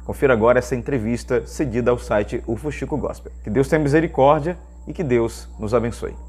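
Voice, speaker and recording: Male channel host, Brazilian accent, medium pitch, presenting church news and commentary. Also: brisk, darkish tone, husky.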